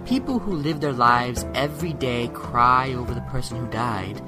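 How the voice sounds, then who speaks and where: Radio-play villain for a male actor: gleeful, mocking kidnapper, mid-pitched, morbidly chatty, under prominent music. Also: close to an everyday voice. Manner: mocking, chatty, drawn to musing on death. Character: sadistic male kidnapper and killer, gleefully taunting, morbidly philosophical. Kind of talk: amateur radio drama acting. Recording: loud music behind the voice.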